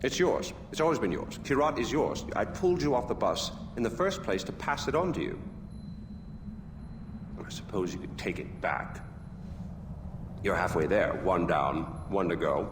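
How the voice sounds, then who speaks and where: An actor playing a flamboyant, smug tyrant king who mocks and threatens at a drawn-out, theatrical pace. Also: sits low, animated, background noise. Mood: sly. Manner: mocking, theatrical, drawn-out menace. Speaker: game villain. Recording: hall reverb with loud music.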